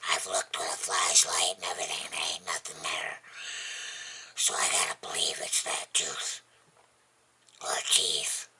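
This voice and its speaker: A vlogger with a husky, breathy, gravelly voice, chatting casually in a rambling, folksy, plainspoken and unhurried way. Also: low voice, flat delivery, sharp tone, a little hiss or hum. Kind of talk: casual rambling chat to camera.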